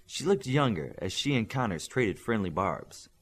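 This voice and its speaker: A man's voice: lowish voice, big pitch swings, moderate pace, breathy edge. A man reading a scripted fiction story as an audiobook narrator.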